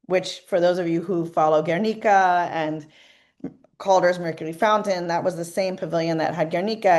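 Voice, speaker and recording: A woman's voice: on the low side, a little mellow. A woman co-presenting a lecture in an informal, explanatory academic style.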